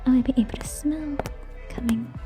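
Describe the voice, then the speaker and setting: A woman playing a haughty, teasing tsundere vampire in a scripted ASMR roleplay, whispering scornfully and deliberately, close to the microphone. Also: high-pitched, steady tone, over music, natural voice. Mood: irritated, lecturing.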